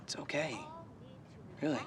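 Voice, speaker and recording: An actor playing a resigned, placating teenage boy with a creaky voice in a TV drama. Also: measured pace, noisy background, lightly acted. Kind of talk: TV drama dialogue.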